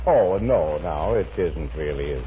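A man's voice: rumbling low, animated, a little slow. A man playing an affable, slightly bumbling husband in a 1950s radio sitcom, genial and a little hesitant. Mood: chatty. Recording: muffled, noisy 1950s radio recording.

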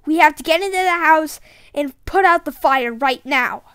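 A woman voicing an excitable, easily panicked kid in a monster adventure game, with a wide-eyed, exclamatory manner. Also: high voice, normal speed, dry recording. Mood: excited. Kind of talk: video game voice lines.

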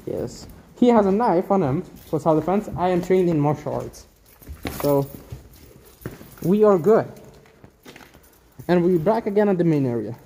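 A man's voice: high voice, lively intonation, measured pace, pauses often, mellow, slight background noise, low-quality recording. A young man filming as he walks through a large abandoned factory, chatting and explaining what he sees. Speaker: vlogger filming. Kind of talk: casual chat. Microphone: handheld camera while walking.

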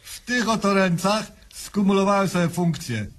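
A man's voice: sits high, expressive, moderate pace, a little hiss or hum. A man playing a smug, jocular presidential candidate in a radio comedy sketch, pompous and mangling his grammar. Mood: boastful. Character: smug, cheerful male presidential candidate, crude, self-satisfied patient. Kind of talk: satirical radio sketch acting.

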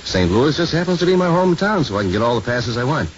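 Man playing easygoing priest, animated intonation. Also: mid-range voice, fast talker, natural voice. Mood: friendly. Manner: casual, gently probing. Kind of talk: 1950s radio acting. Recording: muffled, faintly noisy 1950s radio recording.

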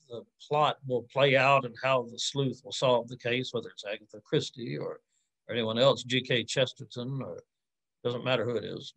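A man's voice: medium pitch, poor audio. A man in his forties speaking as an academic in an informal Q&A, thinking aloud in a hesitant, reflective way and rephrasing as he goes. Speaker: academic answering audience questions. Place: indoors on a video call with virtual background.

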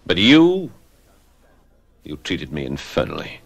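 A man's voice: sits low, wildly swooping pitch, slow, fairly bright tone, full character voice. An actor playing a brooding, scornful, vengeful young man in a radio drama, with a mocking, menacing manner.

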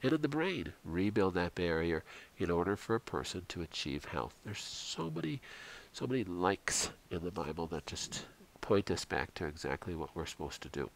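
Middle-aged male lecturer, low-pitched, earnest and instructive, close-mic voice-over. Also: big pitch swings, normal speed, rough recording. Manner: earnest and instructive, frequent fillers. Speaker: chiropractor presenting a health program. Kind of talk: slideshow health lecture voice-over.

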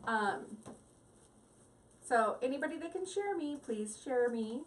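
A woman's voice: high-pitched, lively intonation, slow pace, far from the mic, a little hiss or hum. A woman hosting a live crafting stream, chatting with viewers in a rambling, giggly way at her craft table at home. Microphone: device mic set back from the table.

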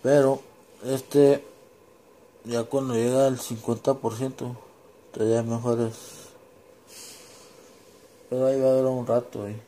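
A man with a Mexican Spanish accent giving a casual, unscripted demonstration, informal and halting as he searches for words and drops in English. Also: mid-range voice, flat delivery, mellow. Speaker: home vlogger demonstrating gear.

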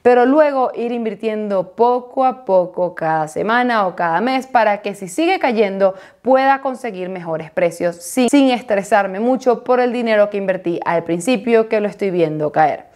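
A woman's voice: mid-range voice, animated, moderate pace, hardly any pauses, darkish tone. A woman in her thirties presenting a scripted explainer to camera as host of an online video channel. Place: small indoor home studio with desk.

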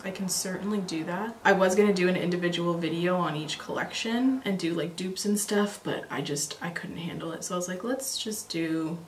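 A young woman with a slightly raspy voice, chatting casually and rambling with many fillers while recording a makeup tutorial at home. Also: on the low side, reverberant, slight background noise. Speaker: beauty vlogger. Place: small home filming room, indoors.

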